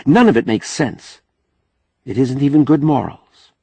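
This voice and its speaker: A man's voice: medium pitch, animated, unhurried, dark tone, husky, no room sound, pitched above the performer's own voice. A man voicing a spirited, outspoken young woman in an audiobook, earnest and challenging in manner. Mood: indignant. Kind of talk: audiobook character dialogue.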